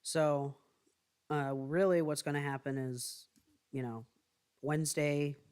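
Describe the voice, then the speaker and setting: A man with a light, higher voice that callers sometimes take for a woman's, rambling through casual stories. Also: takes it slowly, clean tone. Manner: rambling, relaxed storytelling. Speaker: stream co-host. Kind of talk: casual chat.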